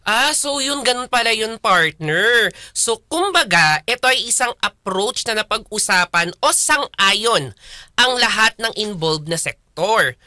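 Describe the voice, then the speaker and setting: Female Filipino radio co-host, conversational, sharp bright tone, dry studio-tight sound. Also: fairly high pitch, lively intonation, unhurried, no echo. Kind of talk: conversational radio interview hosting.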